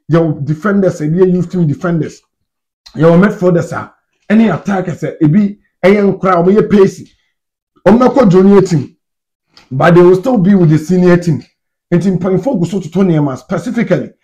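A man's voice: sits high, darkish tone. A Ghanaian man in his thirties giving casual, conversational football news commentary to the camera as a fan channel host. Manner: conversational, mixes Twi and English. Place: small indoor room at home.

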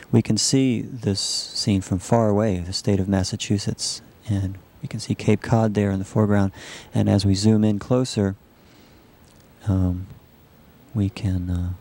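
Male technical demonstrator, low voice with plenty of fry, halting and explanatory. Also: fairly even intonation, warm tone. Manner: halting, measured explanatory delivery. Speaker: software researcher demonstrating a system. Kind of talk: live demo narration of a computer animation.

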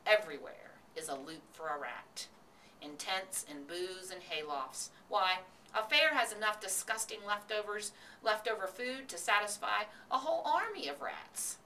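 A woman voicing a knowing old sheep in a storybook, measured and persuasive as she tempts. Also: mid-range voice, expressive, bright, forceful tone, far from the mic, slight background noise, poor audio. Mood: persuasive, relishing.

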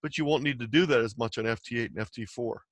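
A man's voice: mid-range voice, fast talker, no echo. A man giving an explanatory slide lecture in a plain-spoken, matter-of-fact, instructional way. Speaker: amateur radio presenter.

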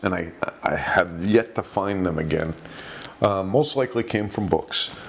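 Middle-aged male host, low voice, loose and rambling delivery, lapel mic. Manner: rambling, many fillers and restarts. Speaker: podcast host. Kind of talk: casual storytelling commentary. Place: small indoor studio.

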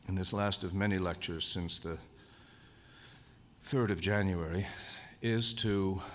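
A man with a very low voice delivers a formal lecture to a convention audience. He speaks in a measured, deliberate way and leans on key words for emphasis. His voice rings with the long reverb of a large hall. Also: fairly bright tone, a little hiss or hum.

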